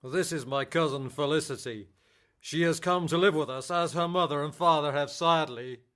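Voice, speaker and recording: A woman's voice: sits low, normal speed, fairly bright tone, voice pushed down. A woman voicing a bossy, protective male mouse who leads his friends in a children's radio play, stern and theatrical. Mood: solemn.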